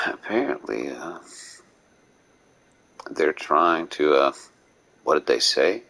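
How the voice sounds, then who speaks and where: Deep gravelly man musing over a game. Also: huge pitch swings, fairly bright tone. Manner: musing, halting, reads text aloud. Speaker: streamer playing a game. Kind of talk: casual live game commentary and voicing characters.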